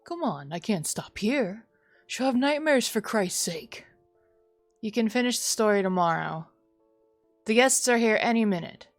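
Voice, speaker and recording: A woman's voice: mid-range voice, animated, a little slow, no room sound, faint music behind. Woman acting an impatient, scolding parent. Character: impatient, scolding parent ordering a child to bed.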